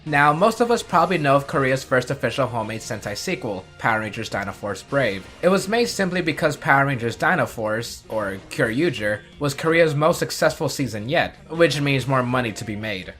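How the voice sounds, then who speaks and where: A man's voice: mid-range voice, expressive, a little fast, hardly any pauses, fairly bright tone. A man reading scripted narration for an explainer video as its host. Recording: music bed under the voice. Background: background music.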